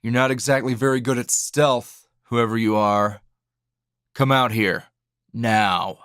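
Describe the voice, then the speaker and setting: A man playing a tired, gruff, dryly teasing hero schoolteacher in an ASMR roleplay, speaking low and gravelly in a halting, understated way. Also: steady tone, a bit bright, no echo, clean studio sound, natural voice. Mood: irritated, weary. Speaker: voice actor.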